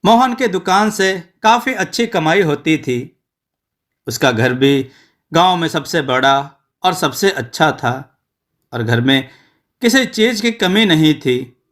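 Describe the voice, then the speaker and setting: Male narrator, moral storytelling, slow delivery, bright forceful tone, slightly high pitch, measured phrasing. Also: animated.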